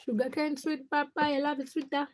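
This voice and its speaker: High-voiced Ugandan woman livestreaming. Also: little pitch movement, fairly quick. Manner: short, fragmented phrases with repetition. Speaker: livestream host. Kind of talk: casual livestream chat, greeting viewers.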